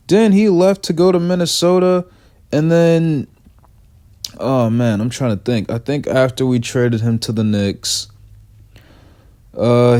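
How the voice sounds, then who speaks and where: A man, a little raspy from being sick, giving a rambling, casual sports opinion talk, repeating "man" often. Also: mid-range voice, dark tone. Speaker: sports fan commentator.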